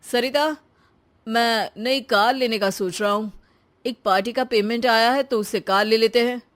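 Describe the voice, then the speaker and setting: A woman's voice: fairly high pitch, moderate pace, close to an everyday voice. A woman playing a showy, free-spending husband in a story, talking emphatically. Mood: casual, dismissive. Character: showy, free-spending adult son and husband, easily flustered.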